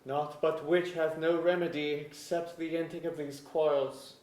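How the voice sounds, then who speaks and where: A man's voice: fairly high pitch, steady tone, normal speed, darkish tone, slight background noise, close to an everyday voice. A man playing an anxious, devoted king in a staged reading of archaic verse drama. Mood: troubled. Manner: formal archaic verse delivery. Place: indoor auditorium stage. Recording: echoey auditorium.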